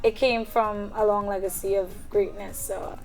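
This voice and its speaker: Young woman, casual interviewee, mid-range voice, relaxed filler-heavy delivery. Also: mid-range voice, normal speed, faint music behind, poor audio. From Trinidad and Tobago. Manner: chatty, frequent "um" fillers and soft laughs. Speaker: singer-songwriter guest.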